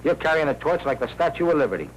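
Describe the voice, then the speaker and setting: A man's voice: a little high, animated, very fast, close to an everyday voice. Actor playing a slangy, wisecracking 1940s newsroom man. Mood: insistent, needling. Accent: American. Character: streetwise wisecracking newsroom man, pushy and scheming. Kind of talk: 1940s crime film acting. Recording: noisy 1940s film soundtrack.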